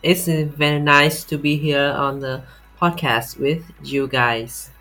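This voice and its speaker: A Vietnamese-accented man speaks as a podcast guest in a halting, unhurried way. His voice is bright and sharp, with a little music in the background. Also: a little high, steady tone. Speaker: podcast guest, software tester. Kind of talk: casual interview talk.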